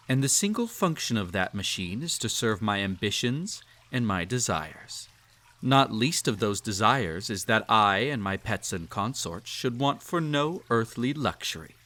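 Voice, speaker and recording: A man playing a silky, low-voiced possessive emperor. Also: a bit bright. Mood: grandiose, proud. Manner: pompous, formal, teasing, patronizing. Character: cruel, possessive male emperor, silky and condescending. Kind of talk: scripted roleplay audio drama, intimate address to listener.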